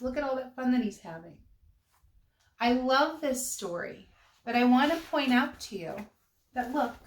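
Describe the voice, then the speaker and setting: A woman reading a children's storybook aloud with expressive, emphatic phrasing for young listeners. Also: on the high side, reverberant.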